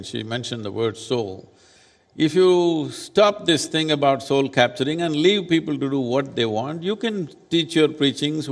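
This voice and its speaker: A man in his sixties speaks from a stage in a large conference hall. His voice sits mid-range, and the hall adds a long reverb. He talks in a measured, deliberate way and speaks firmly. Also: medium pitch. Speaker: spiritual leader speaking as summit panelist. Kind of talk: onstage panel answer.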